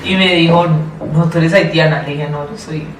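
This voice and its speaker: A woman with a Colombian accent answering interview questions in a thoughtful, deliberate way, often repeating and rephrasing herself. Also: low-pitched, steady tone. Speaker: interview guest, public education official. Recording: roomy, distant mic, faint noise.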